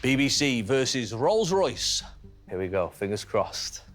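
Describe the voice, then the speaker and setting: A man's voice: mid-range voice, big pitch swings, measured pace, faint music behind. A man with a Manchester accent hosting a TV quiz show, chirpy and encouraging, building suspense over each answer. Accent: Manchester English. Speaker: game show host. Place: TV studio.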